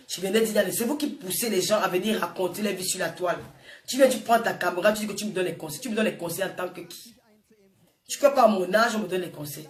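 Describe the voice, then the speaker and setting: A woman in her forties with a Francophone African accent delivers an informal, impassioned monologue, scolding emphatically and repeating phrases for effect. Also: medium pitch. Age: 40s. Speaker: social media personality addressing viewers. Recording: echoey room, distant microphone.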